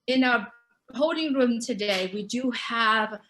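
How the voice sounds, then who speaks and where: Bright, bubbly woman hosting a show. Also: on the high side, unhurried, poor audio. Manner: upbeat, gushing welcomes. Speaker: show host. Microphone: video call.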